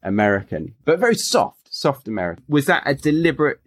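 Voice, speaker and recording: A man's voice: medium pitch, very animated, a little fast. A man who hosts a podcast and teaches English, asking questions in a friendly, encouraging way. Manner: friendly, encouraging, lots of affirming fillers. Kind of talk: casual interview chat.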